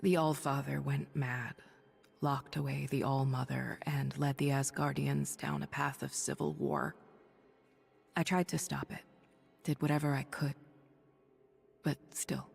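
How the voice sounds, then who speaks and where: A woman voicing a weary, noble superhero thunder goddess for a video game, confiding in measured, breathy speech. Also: extremely low pitch, level tone, long reverb tail, exaggerated voice. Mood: somber. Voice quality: breathy, husky. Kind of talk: video game voice acting, dramatic dialogue and audio-log monologue.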